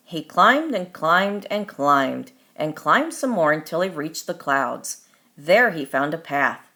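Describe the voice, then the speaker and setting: A woman reading a children's story aloud as its author, in deliberate, expressive scripted narration. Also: low-pitched.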